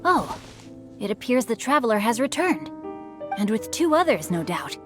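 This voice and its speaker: A woman voicing a refined, scholarly young gentleman in a video game, eloquent, formal and slyly playful. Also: on the high side, very animated, normal speed, music in the background. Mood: composed.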